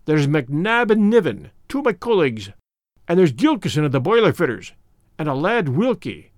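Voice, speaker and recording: Blunt, fiery old Scottish union radical in broad dialect, high and lively, a man doing the voice, dry close sound. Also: no echo. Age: older. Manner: broad dialect, forceful and opinionated. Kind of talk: audiobook character voice.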